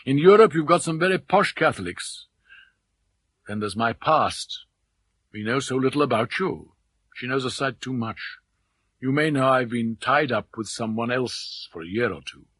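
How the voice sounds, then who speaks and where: A man's voice: medium pitch, expressive, moderate pace, fairly bright tone, slightly rough, no room sound. A man reading a novel aloud as a brash, self-assured businessman suitor, blunt, boastful and slangy. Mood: bluntly confident.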